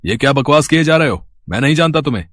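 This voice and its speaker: A smooth-talking village landowner played by a man from a storybook. His voice drops low and is closely recorded and dry, with the slippery manner of a two-faced man. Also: animated, fast, a bit bright, dry recording. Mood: indignant denial. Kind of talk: acted storybook dialogue.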